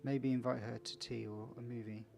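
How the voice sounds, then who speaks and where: Man, medium pitch, creaky voice, casual let's play reading, chatty asides, small stumbles, faint background music. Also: flat delivery, normal speed, mellow. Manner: chatty asides to viewers, stumbles over read text. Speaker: video game let's player. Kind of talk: casual commentary and reading game text aloud.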